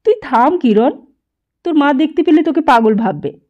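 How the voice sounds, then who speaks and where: A woman's voice: high-pitched, expressive, moderate pace, muffled recording, voice pushed up. A woman voicing a sensible boy in an audiobook, speaking in urgent, scolding short commands. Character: alarmed, sensible boy restraining his wild friend. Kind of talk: audiobook character dialogue.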